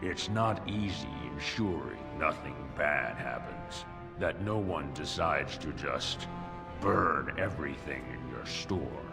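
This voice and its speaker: An actor playing a smug dwarf gangster in a fantasy game, threatening with mock courtesy. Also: male voice, very deep voice, lively intonation, takes it slowly, gravelly, over loud music, full character voice. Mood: menacing. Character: menacing, smug male dwarf gangster extortionist.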